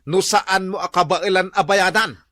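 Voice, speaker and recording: A man's voice: sits high, steady tone, moderate pace, sharp tone, dry recording. A man with an Ilocano accent playing a blunt, scolding farmer husband and father in a comedy radio drama, given to exclamations. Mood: exasperated, scolding.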